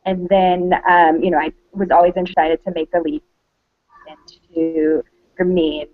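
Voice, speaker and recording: A woman from Michigan introducing herself as a fellow on a video call, in a halting way. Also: on the low side, level tone, no echo. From Michigan, USA. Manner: halting, uneven pace. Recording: tinny phone-like call line.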